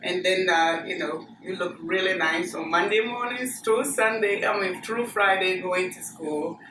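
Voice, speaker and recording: A Ghanaian woman with a low voice tells her story in a measured, unhurried way, often pausing on fillers. She sits some way from the mic. The room is echoey, and steady noise runs underneath her voice. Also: far from the mic. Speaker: interviewee. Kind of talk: oral history interview.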